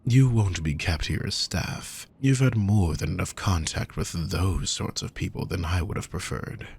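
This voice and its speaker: A man playing a wealthy, possessive villain kidnapper in an intimate audio roleplay, calm, courtly and manipulative, speaking in measured, formal phrases with soft menace. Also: slight background noise. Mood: possessive, gentle. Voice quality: low, gravelly, husky.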